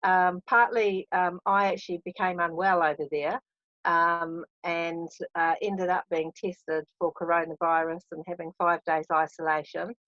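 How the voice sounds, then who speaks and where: A woman's voice: fairly low pitch, flat delivery, a little slow, a bit bright, no echo. A woman from New Zealand, speaking in a video call interview with frequent hesitations. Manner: hesitant, many ums. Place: caravan at a beach. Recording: dull dry video call audio.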